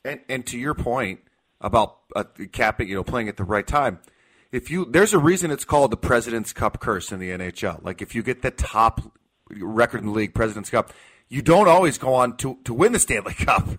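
A man's voice: mid-range voice, lively intonation, a little fast, fairly bright tone, breathy edge. A man in his forties with an American accent, hosting casual sports talk on the radio. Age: 40s.

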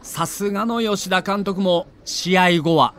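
A man's voice: high-pitched, takes it slowly, fairly bright tone. A man narrating a sports documentary in a theatrical, emphatic storytelling manner.

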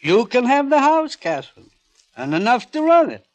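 Sardonic husband, vindictive, deliberate, theatrical, swooping intonation, 1950s radio drama. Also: male voice, very high-pitched, big pitch swings. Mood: smug, calculating. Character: cold, mocking husband, suavely vindictive.

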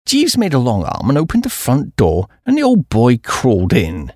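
Animated British man narrating, very varied pitch. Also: moderate pace, no room sound, clean studio sound. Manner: breezy upper-class chappie delivery. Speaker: audiobook narrator. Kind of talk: comic first-person story narration.

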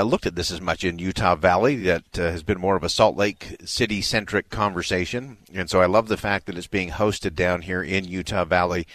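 A man's voice: low voice, fairly bright tone, dry recording. A man hosting a live radio broadcast, speaking in an earnest, affirming way as he interviews and comments. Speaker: radio host.

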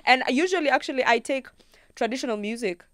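A woman's voice: high voice, fast talker, no echo, clean studio sound. A young Kenyan woman, a singer-songwriter guest, chatting casually in an interview and acting out her own thoughts as she tells stories. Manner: animated storyteller who acts out her own thoughts in quotes.

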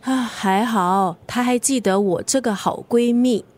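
An actress playing a frank, chatty middle-aged best friend in a radio drama, with a Singaporean accent. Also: female voice, a little high, unhurried, a little mellow. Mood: concerned. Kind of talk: Mandarin radio drama acting.

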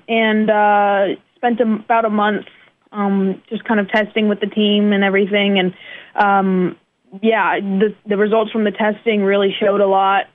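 A young woman from the northeastern United States, a guest racing driver answering in casual interview talk, relaxed and modest with many fillers. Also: medium pitch. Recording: tinny phone-like line.

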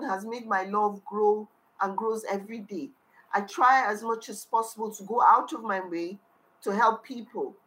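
A woman with a Nigerian accent answers interview questions as a charity founder. Her voice sits at a middle pitch. She speaks from the heart and comes back to the same phrases for emphasis. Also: fairly bright tone, poor audio. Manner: heartfelt, earnest, repeats phrases.

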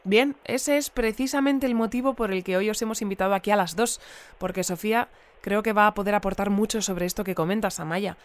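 An actress playing a courteous, composed bulletin host with an accent from Spain, in a fictional radio interview. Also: female voice, medium pitch, brisk, close to an everyday voice. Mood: composed, friendly.

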